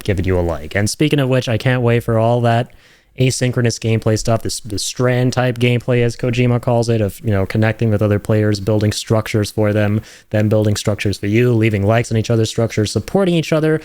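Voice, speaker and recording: A man's voice: medium pitch, a little mellow, smooth. A man giving casual, effusive and rambling reaction commentary as a video host, addressing his viewers directly.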